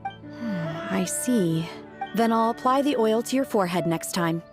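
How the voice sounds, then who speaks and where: Woman voicing an aloof young woman, deadpan, matter-of-fact, level tone over game music. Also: mid-range voice, measured pace, natural voice. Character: calm, aloof young woman, blunt and detached.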